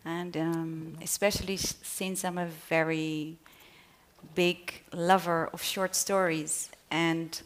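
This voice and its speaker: A woman's voice: fairly low pitch, little pitch movement, slow pace. A woman with a Dutch accent, an author speaking on a panel and then reading her own short story in English, a little hesitant. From Netherlands. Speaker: author reading at a literary event.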